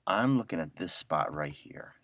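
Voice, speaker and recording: Very deep-voiced man teaching casually. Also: expressive, moderate pace, heavy background noise. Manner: informal, thinking aloud. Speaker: audio software instructor. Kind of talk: casual screen-share tutorial.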